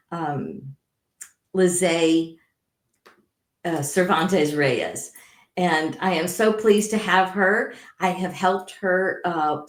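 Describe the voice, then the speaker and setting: Echoey room sound, welcoming woman podcast host, slow measured pace, slightly low voice, interview introductions. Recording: roomy, echoing sound.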